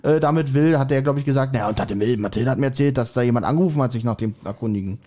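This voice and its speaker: A man's voice: medium pitch, racing, warm tone. A man, a podcast co-host chatting casually and somewhat hesitantly, thinking aloud as he discusses a story. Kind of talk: casual podcast chat discussing an audio drama episode.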